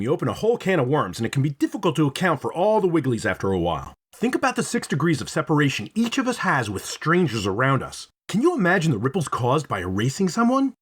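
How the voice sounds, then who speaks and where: A man narrating a scripted video essay in a dramatic, expressive storytelling manner, with casual swearing. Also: on the high side, husky. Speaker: video host, reviewer.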